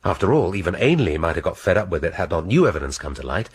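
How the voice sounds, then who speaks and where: An actor playing a dry, erudite middle-aged police inspector in a radio drama, wry and precise. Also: male voice, low-pitched, big pitch swings, fast, breathy edge, full character voice. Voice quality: wry, precise, dryly ironic.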